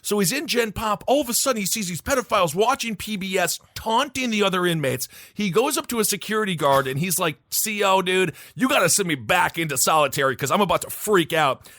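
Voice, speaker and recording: A man with a Midwestern accent tells news stories in a jokey, rambling manner. His pitch swings widely and sits a little high. The sound is dry and close. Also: expressive, a little fast, hardly any pauses, bright, forceful tone, no echo. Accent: Midwestern American. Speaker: podcast co-host. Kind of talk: casual comedic chat.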